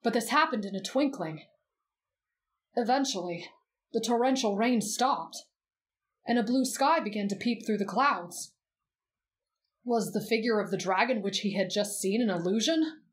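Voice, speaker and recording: A woman voicing a gentle teenage boy who reads in a soft, measured, expressive storybook way for roleplay ASMR. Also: mid-range voice, lightly acted. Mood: measured storytelling.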